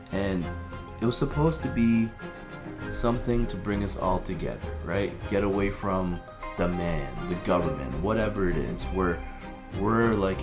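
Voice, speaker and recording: A man playing an agitated, conspiracy-minded husband in an audio drama, rambling, halting and searching for words. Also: deep voice. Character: agitated conspiracy-minded husband piecing together a dystopian plot. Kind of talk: audio drama, conversational dialogue.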